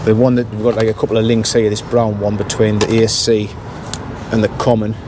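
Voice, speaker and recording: Man talking over loud outdoor noise. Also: lowish voice, completely flat delivery, fairly quick. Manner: matter-of-fact, thinking aloud while working. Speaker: intercom repair engineer presenting his work. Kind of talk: casual hands-on repair walkthrough.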